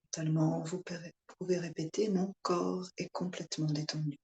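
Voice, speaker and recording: A woman yoga instructor guiding a relaxation meditation in calm, soothing short phrases with pauses. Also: sits low.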